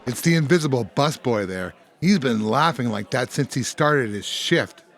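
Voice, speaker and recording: A man's voice: mid-range voice, expressive, moderate pace, fairly bright tone. A man playing a grumpy, matter-of-fact bartender in a comedic audio drama, with a blunt manner. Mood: deadpan explaining.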